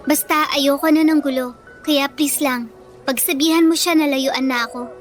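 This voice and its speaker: Radio drama underdog played by a woman: meek bookish young woman, high voice, pleading and hesitant. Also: fairly even intonation, moderate pace, faint music behind, lightly acted. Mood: shy, earnest. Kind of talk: Filipino radio drama acting.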